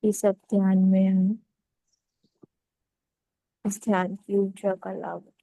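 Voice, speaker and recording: A woman leading a guided meditation, giving calm, unhurried instructions in short phrases with pauses. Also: medium pitch, creaky, no room sound, rough recording.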